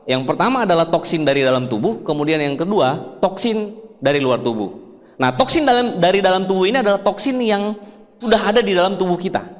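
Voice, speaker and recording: A man's voice: sits high, lively intonation, brisk. A man from Sulawesi, Indonesia, giving a health seminar in an explanatory, conversational way, putting questions to his listeners. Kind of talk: presentation to an audience. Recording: echoey hall with long reverb.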